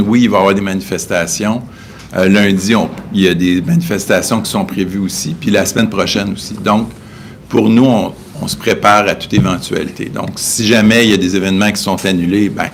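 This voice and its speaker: A man with a Québécois French accent answering press questions as a senior police official, in a measured, hesitant, official manner. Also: on the low side, vocal fry, slight background noise. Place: indoor press briefing.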